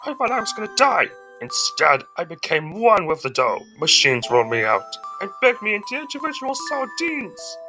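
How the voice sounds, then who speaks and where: Someone doing a male cartoon cracker-crocodile villain, brooding, dramatic storyteller, lively pitch, faint music behind. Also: moderate pace, hardly any pauses. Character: villainous crocodile turned cracker monster, wounded pride, male. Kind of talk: fan dub of a goofy graphic novel.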